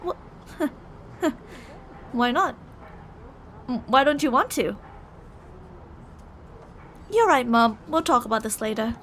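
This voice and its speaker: A woman's voice: a little high, lively intonation, slow, pauses often, a bit bright, a little hiss or hum. A woman playing a possessive, delusional young yandere bully in an ASMR roleplay, teasing, insistent and emotionally volatile. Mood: sweetly pushy.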